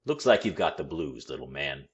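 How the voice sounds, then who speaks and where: A man voicing a giant, sweet-natured tuba player in a storybook, booming, hearty and emphatic as he mentors. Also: big pitch swings, moderate pace, muffled recording. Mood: gentle concern. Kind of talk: storybook character voice.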